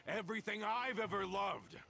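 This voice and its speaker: A man's voice: high voice, moderate pace, vocal fry, pushed and bright, heavily acted. An actor playing a bitter, vengeful man in a dramatic video game, accusing and theatrical. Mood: accusing, scornful. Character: bitter, vengeful male former member of an order, accusing.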